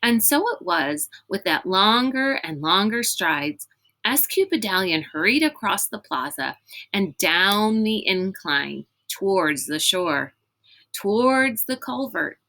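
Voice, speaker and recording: A woman reading a children's novel aloud in an expressive, dramatic storytelling manner. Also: medium pitch, takes it slowly. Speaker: reader reading a book aloud.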